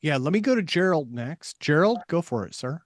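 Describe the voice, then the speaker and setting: A man's voice: on the high side, big pitch swings, normal speed, no echo. A middle-aged man hosting an online open mic in a casual way, speaking into a studio microphone. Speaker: host. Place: indoor studio. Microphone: studio microphone on boom arm.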